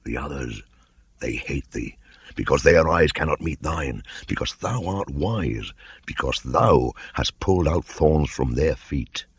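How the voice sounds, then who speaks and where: Guy doing a grave old-time storybook panther, super deep and husky, loving and solemn. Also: lively intonation, unhurried, dry recording, studio quality, full character voice. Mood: grave, tender. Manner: solemn, archaic storybook speech.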